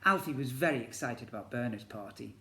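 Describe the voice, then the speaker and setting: A man's voice: mid-range voice, brisk, pushed and bright. A man, a teacher reading a children's story expressively and breaking off to ask his young listeners questions. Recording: echoey room.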